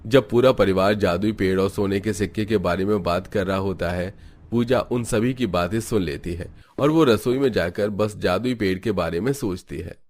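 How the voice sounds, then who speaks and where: A man's voice: fairly low pitch, normal speed, darkish tone. A man narrating a scripted cartoon family story.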